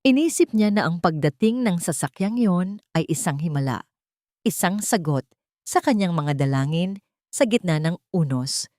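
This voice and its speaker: A woman's voice: fairly low pitch, animated, moderate pace, a little mellow, no echo, clean studio sound. A woman narrating a scripted dramatic story in a solemn, melodramatic manner.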